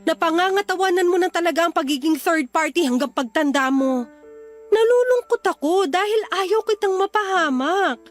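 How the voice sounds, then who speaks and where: A woman's voice: very high voice, animated, normal speed. A woman playing a caring but exasperated friend in a Filipino radio drama, earnestly admonishing with a Filipino accent. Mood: earnest, pleading. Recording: dramatic music behind the voice.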